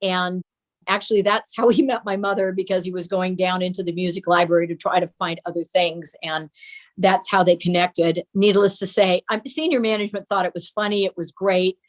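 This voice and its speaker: Older woman, measured storyteller. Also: on the low side, steady tone. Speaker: interview guest recalling a parent's radio career. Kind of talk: conversational reminiscence.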